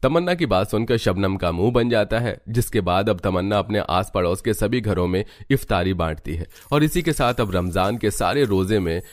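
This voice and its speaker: A man's voice: lowish voice, lively intonation, moderate pace, hardly any pauses, dry recording. A man narrating a scripted cartoon family tale as its storyteller.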